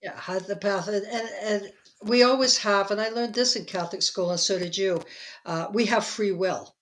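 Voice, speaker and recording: A woman's voice: medium pitch, moderate pace. An older woman in her sixties talks in a chatty, rambling way, often slipping into quoted asides. Her voice sits mid-range for a woman, and the talk is loose and conversational. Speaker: psychic and author, guest. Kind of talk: casual conversation.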